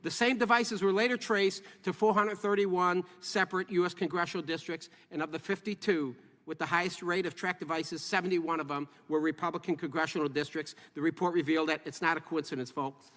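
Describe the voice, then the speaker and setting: A man's voice: sits high, fairly even intonation, a little fast, fairly bright tone, big-room sound. A politician giving a formal, emphatic speech, firing rhetorical questions at his listeners.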